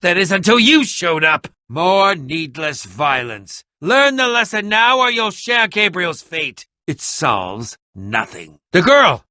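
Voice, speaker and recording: Casting: pompous video game villain, sneering potion-maker, bright, forceful voice, very dry close sound. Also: male voice, high voice, sing-song, takes it slowly, few pauses, no echo, heavily acted. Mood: accusing, angry. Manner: pompous, sneering, melodramatic, over-enunciated. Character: haughty, scheming, theatrical villainous male potion-maker. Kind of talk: video game character acting.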